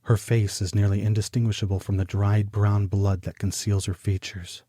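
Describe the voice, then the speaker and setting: An actor playing a brooding, obsessive homicide detective, narrating a noir audio drama in a clinical, deliberate manner. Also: male voice, lowish voice, level tone, mellow, no room sound, lightly acted. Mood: clinical, grim. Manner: clinical, deliberate, measured delivery. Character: brooding, obsessive male homicide detective recounting grim cases.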